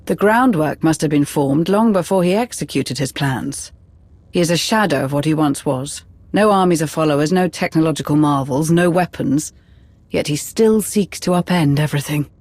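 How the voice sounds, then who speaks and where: A knowledgeable Sith adviser in a video game. She is composed and cautious, with formal, measured delivery. The sound is dry and close. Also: low-pitched, darkish tone, no echo. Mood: analytical, grave. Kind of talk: video game cutscene dialogue.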